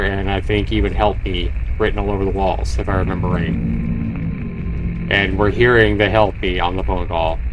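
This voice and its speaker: A man's voice: fairly low pitch, rough recording. A man livestreaming a game, switching between reading narration aloud and commenting on it. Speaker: game streamer. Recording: faint noise behind voice.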